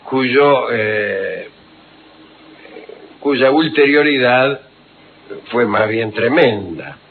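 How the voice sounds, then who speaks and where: A man's voice: mid-range voice, animated, slightly rough. Wry man telling old anecdotes. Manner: unhurried, wry, digressive, with many fillers. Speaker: radio host telling historical anecdotes. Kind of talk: rambling storytelling on radio. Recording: tinny phone-like radio recording.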